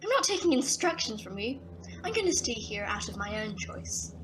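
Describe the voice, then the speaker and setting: A woman's voice: on the high side, very animated, normal speed, noisy background. A woman playing a cocky, sardonic agent who knows more than she says, in an audio drama. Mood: dismissive. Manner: sardonic, breezy.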